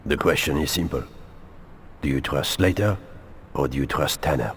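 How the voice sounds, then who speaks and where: Deep-voiced menacing crime boss. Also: male voice, slight background noise. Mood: cold suspicion. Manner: deliberate, threatening. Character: menacing male crime boss, cold and controlling. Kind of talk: dramatic video game cutscene acting.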